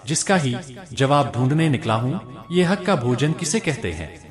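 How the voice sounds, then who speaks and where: A man's voice: mid-range voice, expressive, moderate pace, music in the background, natural voice. A man voicing a courteous, puzzled king in a told folk tale, asking questions respectfully and formally.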